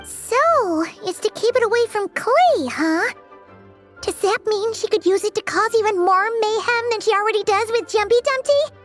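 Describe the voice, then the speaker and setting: Childlike game fairy, extremely high-pitched, bouncy, excitable, faint music. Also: female voice, moderate pace. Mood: curious. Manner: talks about herself in third person, stammers when startled. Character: tiny chirpy childlike fairy companion, curious and excitable. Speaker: floating fairy companion. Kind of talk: video game voice acting.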